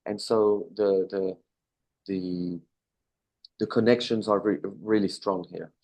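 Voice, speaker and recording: A man in his thirties from Belgium with a Belgian accent, answering interview questions in a relaxed, casual way, with fillers and self-corrections. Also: sits low, slow. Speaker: interview guest, business owner.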